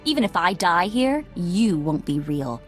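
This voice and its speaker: Defiant cloned sister for a video game, young, intense and self-important, faint score behind. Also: female voice, lowish voice, big pitch swings, measured pace, faint music behind. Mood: bitter, scornful. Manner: intense, contemptuous.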